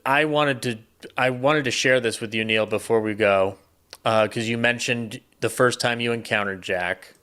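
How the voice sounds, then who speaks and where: A man's voice: medium pitch, fairly even intonation, moderate pace, sharp tone. A man interviewer chatting casually with a guest. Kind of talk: casual chat.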